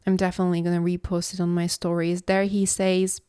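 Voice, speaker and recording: Woman, low steady voice, dry close sound, slow and thoughtful, solo podcast host. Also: clean tone, no echo. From Istanbul, Turkey. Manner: slow, contemplative, measured delivery. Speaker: podcast host and philosophy coach. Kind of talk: reflective solo podcast monologue.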